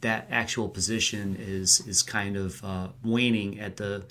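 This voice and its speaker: A man's voice: fairly low pitch, steady tone, unhurried, sharp tone. A man giving conversational sports analysis as a podcast guest.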